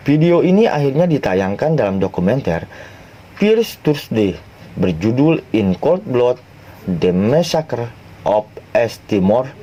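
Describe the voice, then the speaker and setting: A man reading a scripted history documentary narration in a measured way, slowing down on names and dates. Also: mid-range voice, dark tone, a little hiss or hum.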